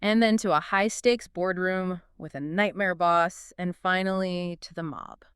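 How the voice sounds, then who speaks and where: A woman's voice: on the low side, a little slow, a bit bright, clear, resonant tone, no room sound, clean studio sound. A woman co-hosting a podcast in an eager, scripted conversational style, leading each segment. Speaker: podcast co-host.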